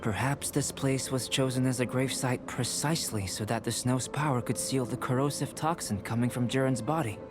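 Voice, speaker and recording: A man plays a young male alchemist from a fantasy game, detached yet curious. His mid-pitched voice is measured and formal, reasoning like a scholar over loud background music. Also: natural voice. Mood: musing. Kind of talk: video game dialogue acting.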